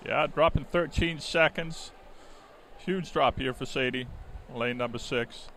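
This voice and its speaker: A man's voice: medium pitch, unhurried. A middle-aged man from Denmark, a native Danish speaker, giving live swimming commentary in a conversational, explanatory way with some hesitation. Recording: steady clear background venue noise. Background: swim meet ambience.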